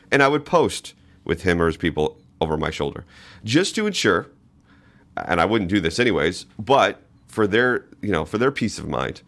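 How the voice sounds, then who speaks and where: A man's voice: low-pitched, a bit bright. A man from the Boston area hosting a political commentary podcast, talking casually and opinionatedly with frequent restarts. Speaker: podcast host.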